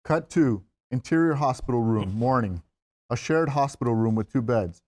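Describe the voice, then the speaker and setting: A man serves as the narrator at a script table read. He reads scene headings and action lines at an average male pitch. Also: animated, moderate pace, a little mellow. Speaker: stage-direction reader.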